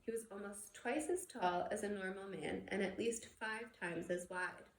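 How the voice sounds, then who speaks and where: A woman's voice: medium pitch, moderate pace. Echoey woman reading a story aloud. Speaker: storybook reader. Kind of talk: expressive read-aloud narration of a novel. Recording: echoey room with background noise.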